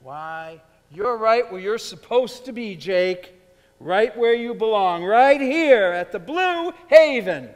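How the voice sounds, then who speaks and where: A man's voice: sits high, expressive, takes it slowly. A man playing a swaggering, seductive drug dealer in a jazz bar, coaxing and taunting in streetwise slang, in a theatrical staged reading. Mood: taunting, gloating. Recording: echoey theater hall with music.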